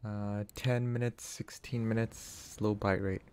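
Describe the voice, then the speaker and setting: A man from Canada, English not his first language, talks in a loose, chatty way to his stream audience. His voice sits a little low, and he reads out chat, muses aloud and laughs easily. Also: measured pace, slight background noise. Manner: relaxed, chatty, answers chat and laughs often. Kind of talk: casual live-stream chat while gaming.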